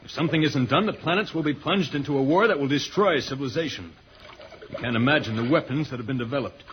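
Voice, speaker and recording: A man's voice: medium pitch, fast, fairly bright tone, lightly acted. An actor playing a grave, urgent, heroic alien spaceman in an old-time radio serial. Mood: grave concern. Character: earnest, heroic alien spaceman, puzzled by Earth slang. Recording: noisy, muffled old radio recording.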